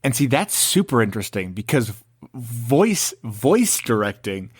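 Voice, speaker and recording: A man's voice: medium pitch, big pitch swings, unhurried, slightly rough, no echo. A young man hosting a podcast, chatting casually with jokey asides and frequent laughter. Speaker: podcast host. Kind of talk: casual chat.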